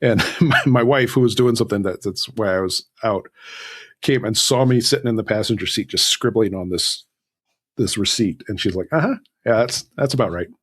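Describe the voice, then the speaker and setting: Low-voiced, husky man. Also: brisk. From Southern California, United States. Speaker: podcast guest, author. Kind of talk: conversational interview advice.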